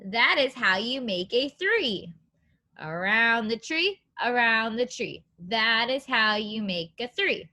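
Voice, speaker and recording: Female preschool teacher, high bright voice, sing-song and encouraging, reading aloud to little kids. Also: slow. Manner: animated, sing-song, encouraging, simple phrasing for young children. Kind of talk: children's read-aloud lesson with questions and counting.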